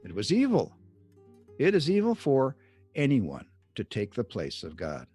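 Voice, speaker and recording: A man reading scripted Bible teaching like an audiobook author, deliberate and emphatic, with measured pauses. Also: mid-range voice, wildly swooping pitch, warm tone, husky, faint music behind. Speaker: author reading own book excerpt.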